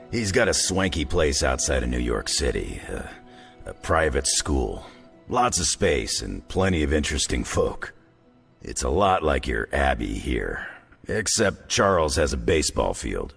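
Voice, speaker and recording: An actor playing a gruff, wry veteran fighter in a video game, with a gravelly voice and a laconic, folksy, sardonic way of talking. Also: male voice, extremely low pitch, sing-song, unhurried, fairly bright tone, soft background music, exaggerated voice. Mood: candid, easygoing. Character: gruff, wry veteran fighter, rugged middle-aged man. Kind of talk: video game cutscene dialogue.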